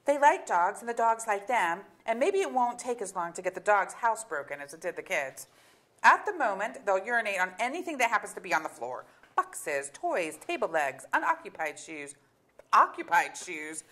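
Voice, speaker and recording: A woman's voice: medium pitch, big pitch swings, normal speed, a bit bright. An actress playing a blunt, exasperated middle-aged wife and mother in a staged reading of a comic drama, speaking in emphatic, sardonic phrases. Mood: dry, wry. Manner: sardonic, emphatic, long articulate tirades. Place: theatre auditorium.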